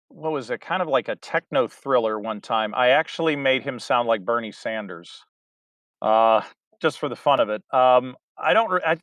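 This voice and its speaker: Male interview guest, mid-pitched, loose and hesitant delivery, dry booth-like sound. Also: fairly bright tone, no room sound. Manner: rambling, hesitant with many restarts. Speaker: guest, audiobook narrator. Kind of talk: casual interview chat.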